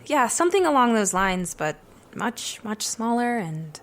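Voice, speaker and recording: A woman playing an earnest, lively drama student. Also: on the high side, unhurried, exaggerated voice. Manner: earnest, self-doubting. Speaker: acting student in audio drama. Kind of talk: audio drama acting.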